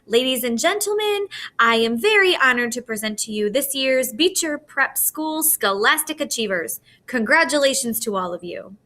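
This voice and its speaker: A woman voicing a dignified male school principal giving an earnest, measured ceremonial speech in a storybook reading. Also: sits high, lively intonation, sharp tone. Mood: proud, formal.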